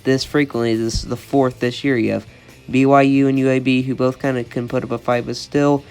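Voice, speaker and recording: A man's voice: medium pitch, fairly quick, smooth, soft background music. A young man with a cold, sounding congested, giving college football predictions in an opinionated, repetitive way as a channel host. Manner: monotone, opinionated, repeats "I think".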